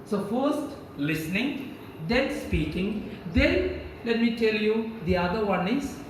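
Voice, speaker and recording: A man's voice: sits high, lively intonation, slow pace, noisy background. A man from Kerala with an Indian English accent and Malayalam as his first language, giving a classroom lecture and quizzing students with repeated key words. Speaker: visiting professor. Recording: echoey room, mic far off.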